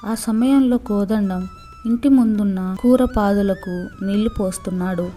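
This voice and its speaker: A woman's voice: mid-range voice, measured pace, warm tone, soft background music. A woman storyteller reading a scripted moral story for children.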